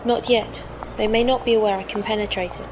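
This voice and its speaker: A woman's voice: mid-range voice, fairly even intonation, fairly quick, noisy background, close to an everyday voice. A woman in a science fiction audio drama playing a loyal, obedient female squire who speaks formally and matter-of-factly. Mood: focused, precise. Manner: formal, precise, matter-of-fact.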